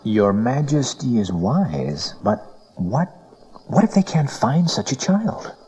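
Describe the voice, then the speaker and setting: An actor playing a cautious, flattering royal counselor in a dramatized audio Bible, with a deferential, hesitant manner. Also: male voice, mid-range voice, takes it slowly, slight background noise, low-quality recording.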